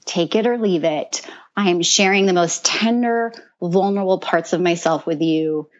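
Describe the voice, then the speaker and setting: A woman guest in a casual podcast conversation, earnest and animated, who often repeats words as she talks. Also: lowish voice, moderate pace, muffled recording. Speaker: guest, mentor to creative women.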